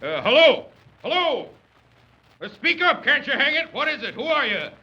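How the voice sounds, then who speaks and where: Grumpy blustery dad in an old 30s radio comedy, high pitched and all over the place, muffled old recording. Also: male voice, big pitch swings, moderate pace, pushed and bright, slight background noise, full character voice. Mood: exasperated, blustering. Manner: blustery, sputtering, emphatic, old-fashioned mild cursing. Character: blustering irascible domineering father, comically exasperated. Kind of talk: 1930s radio comedy acting.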